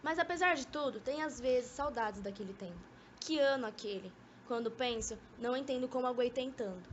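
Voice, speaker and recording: A woman's voice: a little high, brisk, lightly acted. A young woman acting a haughty, bored, reluctant former lover in an amateur radio drama, with a Brazilian accent and petulant delivery. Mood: mocking. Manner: petulant, disdainful. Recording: dull, noisy amateur recording.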